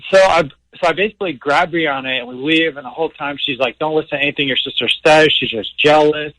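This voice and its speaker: A man's voice: on the high side, fast talker, bright, forceful tone, dry recording, poor audio. A young man calling a radio show, telling a personal story in a casual, earnest way. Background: music.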